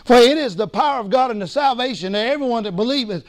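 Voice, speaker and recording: A man preaching a sermon as pastor, hoarse from losing his voice, emphatic and calling for responses. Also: very high voice, animated, fast, dry recording. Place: church sanctuary.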